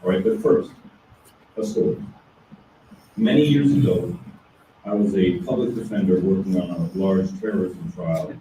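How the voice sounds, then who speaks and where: Booming middle-aged man lecturing in echoey room. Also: normal speed, long pauses, mellow. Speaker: law professor giving a talk. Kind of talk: academic lecture. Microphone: distant room recording. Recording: echoey lecture room from far off, faint hiss.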